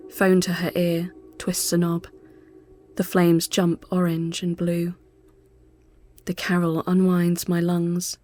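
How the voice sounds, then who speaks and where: A woman giving a literary first-person narration, measured, hushed and sombre. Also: fairly low pitch. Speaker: storyteller.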